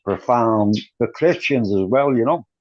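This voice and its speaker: A man with a Liverpool accent, speaking slowly and reflectively as a participant in an online study class, trailing off with "you know". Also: mid-range voice, animated, a little mellow, a little hiss or hum. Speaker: participant asking a question. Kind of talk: casual remark in an online class. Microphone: video call. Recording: dry dull video-call audio.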